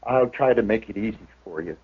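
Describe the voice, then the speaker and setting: An actor playing a wounded, stoic homesteader who speaks in a rough rural drawl. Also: male voice, fairly low pitch, brisk, no room sound. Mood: grim. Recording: tinny vintage radio broadcast.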